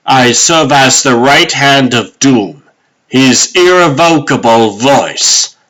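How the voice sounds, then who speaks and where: Man acting a stern, slow-spoken sheriff judge. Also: mid-range voice, a bit bright, poor audio. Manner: formal, commanding, deliberate. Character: stern, authoritative sheriff judge reading out charges. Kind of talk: comic dub character acting.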